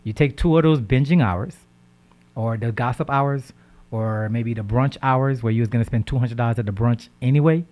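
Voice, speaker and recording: A man hosting a radio talk show, giving casual diet advice. He speaks with lively energy, repeats words, and keeps checking in with "right?". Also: medium pitch, moderate pace. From Haitian background. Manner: animated, repeats words, asks "right?" often.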